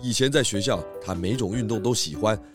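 A man's voice: on the low side, a little fast, music in the background, natural voice. A man playing a chubby, jovial pig detective in a children's audio drama, with playful exclamations. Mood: amazed, chatty.

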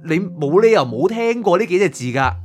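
A man's voice: sits high, sing-song, fairly quick. A man with a Hong Kong Cantonese accent playing a curious, chatty young man in an audio drama. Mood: insistent. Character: curious, chatty young man probing a soul prosecutor's past.

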